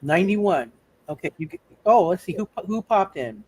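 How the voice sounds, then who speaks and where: A man's voice: sits high, expressive, normal speed, darkish tone, breathy edge, rough recording. A man narrating a live tabletop roleplaying game as game master, casually rambling and repeating his phrases.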